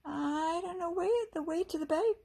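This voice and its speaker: A woman's voice: very high-pitched, fairly even intonation, moderate pace, vocal fry, mellow, pitched above the performer's own voice. A woman voicing a young girl adventurer in a storybook, plucky but unsure, speaking in a hesitant, questioning way. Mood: dismayed.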